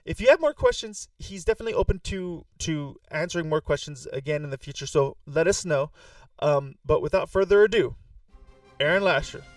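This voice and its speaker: A man's voice: fairly high pitch, lively intonation, a little fast, no echo, faint music behind. Keen male host, slightly off-mic. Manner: enthusiastic, with small restarts and fillers. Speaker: podcast host. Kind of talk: episode introduction. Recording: voice a bit far from the mic.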